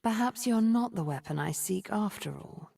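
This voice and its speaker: An actress playing a cold, scheming sorceress from a video game, speaking in a measured, imperious, disdainful way with a breathy, whispery voice. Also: female voice, medium pitch, expressive, warm tone. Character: cold, scheming sorceress mastermind, smooth and menacing. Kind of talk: video game character voice lines.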